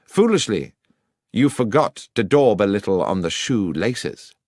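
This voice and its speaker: A man's voice: fairly low pitch, very animated, measured pace, a little mellow, no echo, clean studio sound, exaggerated voice. A man voicing a confident, incisive detective in an audiobook, speaking formally and precisely as he lays out deductions. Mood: confident, accusing. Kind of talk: audiobook character acting.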